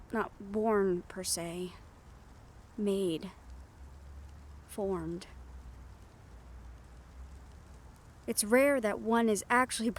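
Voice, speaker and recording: A woman playing a gentle, wistful half-siren, half-banshee who confides softly in an intimate roleplay audio, with reflective pauses. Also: medium pitch. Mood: hesitant, confiding.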